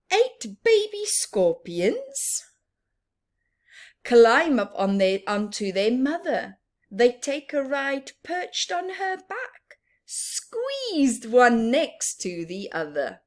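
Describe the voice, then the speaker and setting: Woman reading to young children, very slow, animated. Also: fairly high pitch. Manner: sing-song, expressive, prompting listeners with questions. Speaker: children's story reader and teacher. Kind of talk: interactive picture book read-aloud for young children.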